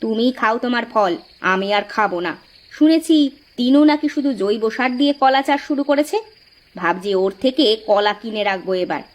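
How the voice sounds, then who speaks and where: A woman's voice: on the high side, lively intonation, moderate pace, close to an everyday voice. A woman voicing a stubborn, disapproving village wife in a Bengali cartoon folk tale.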